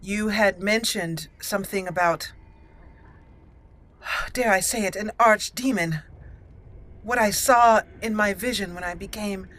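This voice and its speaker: A woman hosting a roleplay let's play, answering in character and commenting with deliberate, theatrical phrasing. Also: medium pitch, fairly bright tone. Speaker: gaming channel host.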